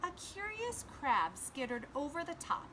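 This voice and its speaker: A woman's voice: high-pitched, sing-song, a little slow, bright, forceful tone, slight background noise. A woman preschool teacher reading and explaining simply and instructively to young children. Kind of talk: children's read-aloud and simple lesson.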